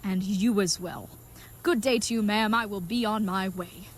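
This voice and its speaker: Woman acting as earnest knightly leathersmith, good-hearted and dim, grandiose archaic phrasing, medium pitch, audio drama comedy, noisy background ambience. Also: normal speed, natural voice. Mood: grateful, courteous.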